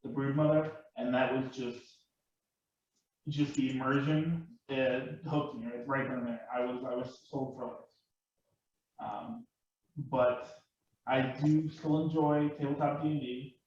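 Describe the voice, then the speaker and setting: Male panel guest, halting casual storyteller, very reverberant and muffled, off-mic. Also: mid-range voice, level tone, darkish tone, a little hiss or hum. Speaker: live action roleplay enthusiast, panel guest. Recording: very echoey room, distant mic, muffled.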